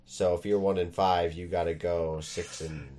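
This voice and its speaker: A man's voice: low voice, level tone, moderate pace, a little mellow. A young man co-hosting a casual sports podcast, congested from a cold and joking around. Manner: jokey, playful. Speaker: podcast co-host. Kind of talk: casual chat.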